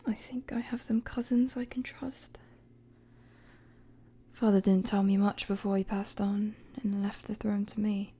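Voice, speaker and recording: A woman playing a weary, guarded young queen in a dramatic audio roleplay, with a soft, breathy voice and measured, formal, hesitant phrasing. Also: mid-range voice, flat delivery. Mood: worried, resolute. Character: weary young queen, regal, guarded, firm with her friend.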